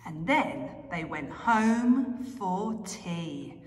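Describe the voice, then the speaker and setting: A young woman who reads and talks to small children as an early years educator, with expressive, drawn-out emphasis. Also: fairly low pitch. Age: young adult. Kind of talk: children's story reading and quiz. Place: carpeted nursery classroom, indoors. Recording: echoey room with long reverb.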